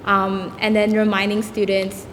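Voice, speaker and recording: A woman's voice: mid-range voice, monotone, a little slow, a little hiss or hum. A woman whose native language is Spanish, speaking as a tutor on a conference panel in an earnest way with fillers. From El Salvador. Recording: reverberant hall.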